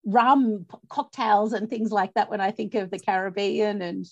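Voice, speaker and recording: A woman's voice: mid-range voice, fairly even intonation, normal speed, muffled recording. A woman with an Australian accent hosting a podcast, upbeat and bubbly in her interviewing. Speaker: podcast host.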